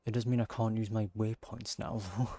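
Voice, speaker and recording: Male gaming streamer, casual commentary, low register, raspy, fry-laden. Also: little pitch movement, a little fast, dark tone, dry recording. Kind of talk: casual play-along commentary.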